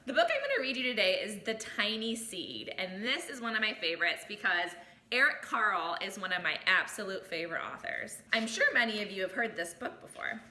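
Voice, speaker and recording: A woman reading a storybook aloud to children in an expressive teacher's way, adding little asides, in an echoey room. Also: mid-range voice, fairly quick. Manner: animated, exclaims and comments on the story.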